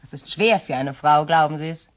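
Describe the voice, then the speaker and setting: A woman's voice: deep voice, fairly quick, lightly acted. An actress playing a composed, touchy widowed lady of the house in a crime radio play, genteel and evasive. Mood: plaintive.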